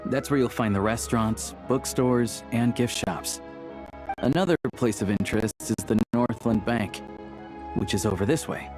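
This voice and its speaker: A man's voice: medium pitch, moderate pace, over music, lightly acted. A man playing an easygoing hunter and town guide in a video game, speaking in a casual, helpful, matter-of-fact way. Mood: informative, helpful. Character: easygoing young male small-time hunter acting as town guide. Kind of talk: video game character acting.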